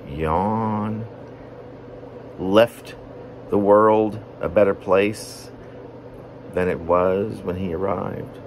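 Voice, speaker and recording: Low-voiced man reading poetry with swooping intonation. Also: a little hiss or hum. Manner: deliberate, wordy, with pauses mid-phrase. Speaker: poet reading own work. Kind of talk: poetry reading, rambling semi-narrative monologue.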